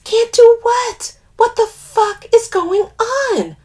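Lady doing a loudmouth dramatic gal pal, swears tons, super animated and high, audiobook dialogue. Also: normal speed. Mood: panicked. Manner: sweary, exclamatory, stammers when flustered. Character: brash, foul-mouthed, dramatic woman friend, alarmed and blunt.

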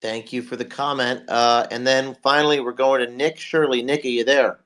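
Hoarse male live-show host, middle pitch, rambling and promotional. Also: fairly even intonation, normal speed, fairly bright tone. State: hoarse from a cold. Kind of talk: live online talk show.

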